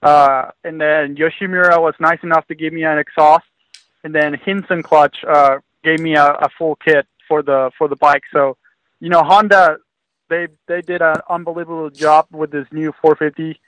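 A man in his twenties from Venezuela, a professional motocross racer, answering interview questions in a relaxed way with frequent fillers. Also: on the high side, moderate pace, no room sound, poor audio. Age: 20s. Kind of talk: casual interview.

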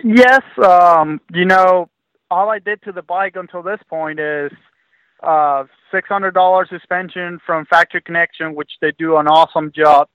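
A man in his twenties from Venezuela, a professional motocross racer, answering interview questions in a relaxed way with frequent fillers. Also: sits high, moderate pace, no echo, highs cut off. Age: 20s. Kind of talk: casual interview.

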